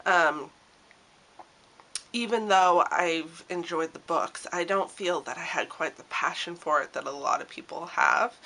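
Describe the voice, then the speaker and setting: Bright-voiced woman with a cold. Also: on the low side. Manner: rambling, hesitant, many restarts and ums. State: stuffy with a cold. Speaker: vlogger. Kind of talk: casual talking-to-camera vlog.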